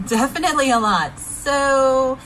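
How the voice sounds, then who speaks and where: A woman's voice: high-pitched, unhurried, a little hiss or hum, rough recording. A woman co-presenting a game showcase in a conversational promotional style. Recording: loud music behind, played within a reaction video. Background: loud music.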